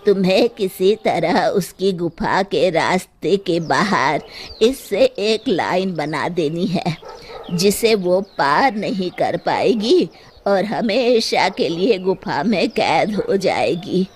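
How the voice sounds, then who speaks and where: A woman voicing a calm, wise male sage who gives solemn, measured instructions in a children's story. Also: on the low side, a little hiss or hum. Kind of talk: children's story character acting.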